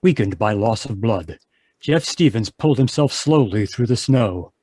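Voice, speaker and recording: A man's voice: on the low side, lively intonation, moderate pace, warm tone, slightly rough. A man giving scripted narration in the style of an old-time radio adventure drama. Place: home, live online video performance.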